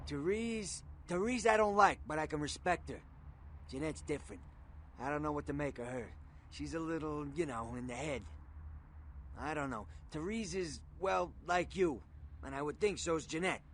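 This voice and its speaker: A world-weary underworld fixer from a video game. He talks tough and slangy, in a fairly high male register, with faint noise behind him. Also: lively intonation, normal speed, fairly bright tone. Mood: confiding, wary.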